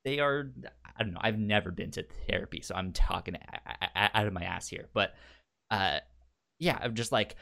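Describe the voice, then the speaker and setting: A man's voice: lowish voice, normal speed, vocal fry, sharp tone. A man co-hosting a podcast in casual chat, repeating words as he forms thoughts and acting out quotes. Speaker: podcast co-host. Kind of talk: casual chat about a TV show.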